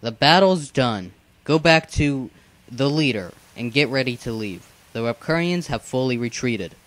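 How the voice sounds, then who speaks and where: A man playing a dutiful, level-headed assassin in an amateur animated fantasy series, speaking in a measured, formal, firm way. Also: medium pitch. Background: music.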